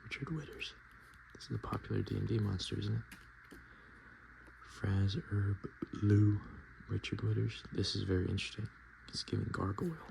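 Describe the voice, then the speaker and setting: A man softly speaking and whispering in a casual, murmuring ASMR style, as host showing items to the viewer. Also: on the low side, fairly even intonation, normal speed, gravelly, background noise. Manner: hushed, casual murmured asides. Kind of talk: ASMR, softspoken and whispered card show-and-tell.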